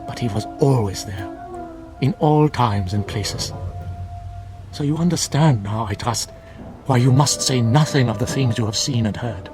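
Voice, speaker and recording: An actor playing a grave, fervent older monk in a radio drama, speaking in formal, measured, preachy phrases. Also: male voice, medium pitch, music in the background, natural voice. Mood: solemn storytelling. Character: solemn bearded older monk, fervent guardian of truth. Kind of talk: classic radio drama acting.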